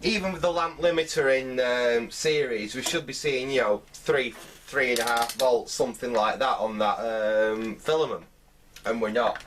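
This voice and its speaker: A man giving unscripted hands-on repair commentary, thinking aloud in a halting way as he works. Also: medium pitch, fairly bright tone, distant mic, a little hiss or hum. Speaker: host of a vintage radio repair channel.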